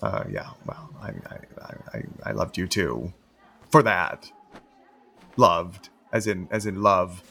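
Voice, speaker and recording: An actor playing an awkward, eager-to-please theatre producer in an audio comedy, stammering and repeating himself nervously. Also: male voice, low-pitched, sing-song, slow, vocal fry, sharp tone, breathy edge, full character voice. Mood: awkward, backpedalling. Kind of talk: audio musical comedy acting.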